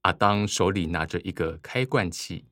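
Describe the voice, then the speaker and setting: A man narrates a radio drama from a script. His voice is low, and the recording is close and dry. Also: moderate pace, fairly bright tone, dry recording.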